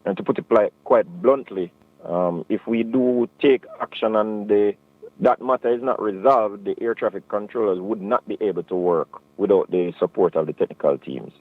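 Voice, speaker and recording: A man's voice: lowish voice, moderate pace, dry recording, narrow, tinny audio. A Jamaican man speaking firmly and formally as a union president in an interview. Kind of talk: formal spoken interview statement.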